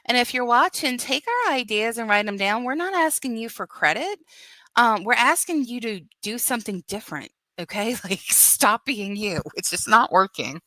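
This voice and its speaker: A woman guest in a casual commentary chat, animated and giggly. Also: on the high side, normal speed, no echo.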